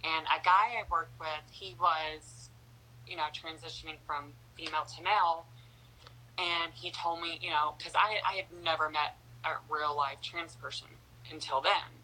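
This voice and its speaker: Chatty young woman with a bright voice. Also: sits low, fairly even intonation, normal speed, slight background noise, poor audio. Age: young adult. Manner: chatty, fillers like "like" and "you know", laughs easily. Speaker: interview guest. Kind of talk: casual interview.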